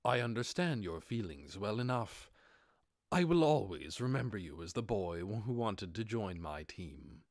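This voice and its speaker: A man voicing a composed, calculating undead overlord who poses as an adventurer, speaking in a measured, formal and magnanimous way in an audiobook. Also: on the low side, big pitch swings, no room sound, clean studio sound, exaggerated voice. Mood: reassuring.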